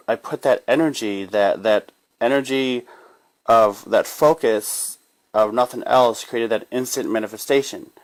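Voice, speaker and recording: A man giving a casual, unscripted spiritual self-help monologue in a rambling, halting way, often repeating phrases and trailing off. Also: on the low side. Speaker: spiritual life coach sharing guidance.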